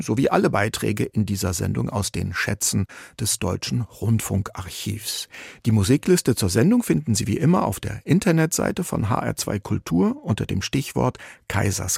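A man narrates as a radio presenter from a script. His voice is very gravelly and husky, recorded dry with hardly any room around it. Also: mid-range voice, darkish tone, no echo.

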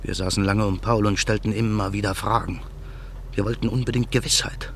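Male narrator, radio drama, low voice, grave, faint background hiss. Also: steady tone, a little fast, gravelly.

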